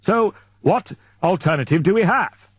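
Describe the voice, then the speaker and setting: A man voicing a fatherly, authoritative male expedition leader in an audiobook, booming and pompous, with wordy formal speech. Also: lively intonation, moderate pace, husky, no echo, studio quality. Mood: smugly assured. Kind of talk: audiobook character dialogue.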